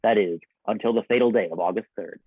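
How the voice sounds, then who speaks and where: A man announcing and narrating from a script in old-time radio style, with a theatrical, deliberate delivery. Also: lowish voice, husky, no room sound. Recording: dull, band-limited radio broadcast sound.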